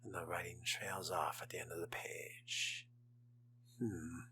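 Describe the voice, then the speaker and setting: A man's voice: deep voice, steady tone, measured pace, pushed and bright, a little hiss or hum. A man narrating a video game playthrough in character, with theatrical delivery and formal wording. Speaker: role-playing game streamer.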